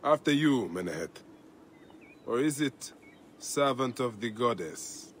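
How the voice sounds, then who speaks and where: An actor playing Bayek, a stern Egyptian warrior man who speaks in a grave, measured way and turns warm with children. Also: mid-range voice, lively intonation, slight background noise, lightly acted. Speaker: game protagonist.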